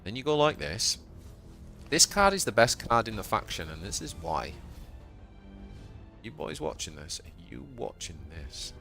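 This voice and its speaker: A man with a Yorkshire accent talks his viewers through a live card game in a lowish voice that leaps all over the place. He gets excitable and yelps high at the big plays, with faint music playing behind him. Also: measured pace, creaky, long pauses. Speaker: streamer. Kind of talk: live gameplay commentary.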